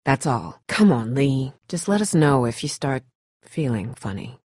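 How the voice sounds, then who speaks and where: A tough young woman survivor from a video game drama, protective and blunt. Her voice is husky and swings widely in pitch, recorded close and dry. She speaks in terse, urgent lines with a sardonic edge. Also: sing-song, measured pace, darkish tone, no room sound, heavily acted. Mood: tense, guarded.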